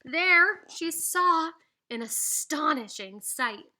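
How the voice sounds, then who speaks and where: Bright, high-voiced woman reading a picture book. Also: slow. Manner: animated, singsong rhyming delivery with teacherly asides. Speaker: read-aloud storyteller. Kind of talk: expressive children's picture book read-aloud.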